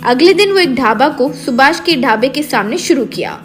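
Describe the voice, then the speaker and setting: A woman's voice: high voice, animated, normal speed, faint music behind. A woman narrator reading a scripted children's story.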